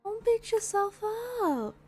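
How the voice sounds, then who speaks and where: A woman casually commentating as she plays a video game, with soft, playful interjections and reactions. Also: very high voice, very animated, takes it slowly. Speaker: gameplay streamer. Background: video game music and dialogue.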